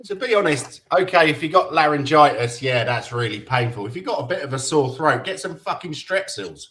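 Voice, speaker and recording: A man's voice: on the high side, animated, brisk, fairly bright tone. A man hosting a football chat stream, blunt and sweary. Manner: blunt, swears, says mate.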